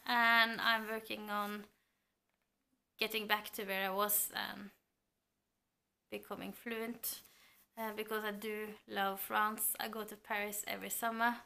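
Norwegian woman in her thirties, book vlogger, talks slowly and thoughtfully to a close camera. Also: mid-range voice, gravelly, sharp tone. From Norway. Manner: thoughtful, hesitant with fillers. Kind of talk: casual chat to camera. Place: home room lined with bookshelves. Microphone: camera at close range.

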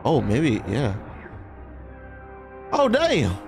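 A man's voice: medium pitch, huge pitch swings, very slow, vocal fry, music in the background. A young man co-hosting a casual film reaction, slangy, profane and exclamatory, close on a studio mic. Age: young adult. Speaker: reaction video co-host. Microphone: close studio microphone with headphones.

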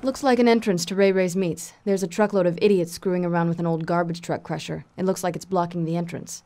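An actress playing a sardonic, tough, vengeful half-vampire heroine with a dry, wisecracking delivery. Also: female voice, lowish voice, fairly even intonation, fast talker, warm tone, close to an everyday voice. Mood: wry.

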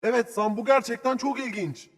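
Cartoon research helper, high voice, earnest and enthusiastic, friendly, long reverberant tail. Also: male voice, a little fast, slight background noise. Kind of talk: children's educational cartoon dubbing.

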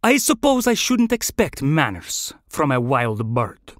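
A narrator voicing a slow-witted, haughty male flamingo with a prim, obtuse manner in an audio story. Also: medium pitch, big pitch swings, no room sound, clean studio sound. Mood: offended. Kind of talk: audio fiction character voice.

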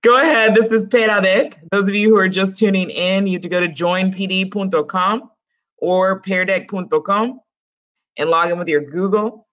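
A woman's voice: mid-range voice, normal speed. Chatty woman teaching Spanish by webcam. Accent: Dominican Spanish in Spanish words. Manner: lively, chatty, joking asides to students. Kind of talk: online class lesson. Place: small home room. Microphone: webcam close up.

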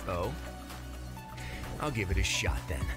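A confident young prison duke from an anime game. His voice sits low, his delivery dry and understated, quietly in command, with music playing behind him. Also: lively intonation, unhurried. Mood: dry, intrigued. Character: cool, confident young duke who runs a prison, dryly unimpressed. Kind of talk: anime game character acting. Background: loud music.

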